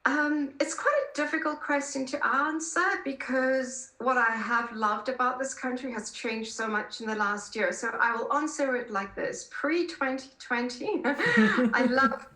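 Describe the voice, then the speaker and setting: A South African woman in her fifties with a high, very bright, forceful voice. She answers interview questions conversationally and with emphatic warmth of feeling. The sound is roomy and echoing. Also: measured pace. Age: 50s. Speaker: podcast guest, political columnist.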